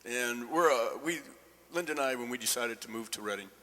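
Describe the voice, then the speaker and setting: A man's voice: mid-range voice, brisk, sharp tone. A man hosting a town meeting, speaking informally to the room from an indoor meeting space. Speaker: radio show host. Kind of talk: informal address to a public meeting. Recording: echoey meeting room, long reverb.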